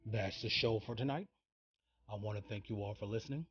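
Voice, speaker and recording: Husky low-voiced man hosting, dull sound. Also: fairly quick. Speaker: podcast host. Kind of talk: casual sign-off. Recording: dull, lo-fi, quiet recording.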